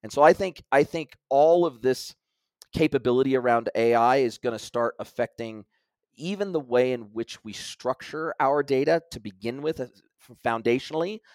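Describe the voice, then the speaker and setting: A man's voice: mid-range voice, normal speed, darkish tone, no room sound. A man as an interview guest, explaining technical ideas at length in casual conversation, often restarting his sentences. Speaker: guest expert.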